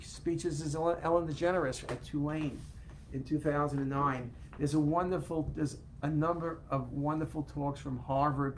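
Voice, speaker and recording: A man's voice: on the high side, slight background noise, low-quality recording. Chatty older man on a webcam. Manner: chatty, self-correcting, animated with hand gestures. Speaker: host. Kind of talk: casual live talk to viewers. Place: home office, indoors. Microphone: webcam on a video call.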